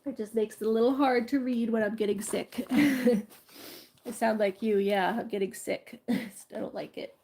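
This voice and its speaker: A woman's voice: fairly high pitch, steady tone, normal speed, creaky, a little mellow. A woman with a congested cold hosting a bedtime story reading, with giggly, chatty asides. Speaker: storytime host reading aloud.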